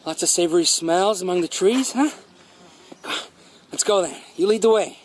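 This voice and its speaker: An actor playing a sly gardener turned dog thief in a radio drama, coaxing and theatrical, repeating himself. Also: male voice, high-pitched, normal speed, slight background noise, close to an everyday voice. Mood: coaxing, friendly.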